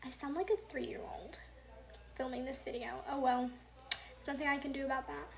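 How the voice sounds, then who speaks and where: Soft-spoken young woman, half-whispering. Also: high-pitched, fairly even intonation, moderate pace, vocal fry. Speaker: gaming channel host. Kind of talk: casual gameplay commentary. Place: home with family noise. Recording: noisy home recording.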